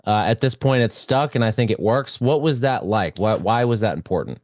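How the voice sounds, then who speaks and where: Guy hosting a podcast, low-ish voice, trips over his words, super dry sound. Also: fairly quick, a little mellow, dry recording. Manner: stammering restarts, friendly. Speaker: podcast host. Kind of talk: podcast interview.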